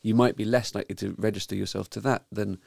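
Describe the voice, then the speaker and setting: A man hosting a weekly news talk show, speaking in a measured way with frequent ums. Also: on the low side, steady tone, vocal fry, a little mellow, slightly rough, dry recording. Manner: measured, hesitant with many ums. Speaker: podcast host. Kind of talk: casual news discussion.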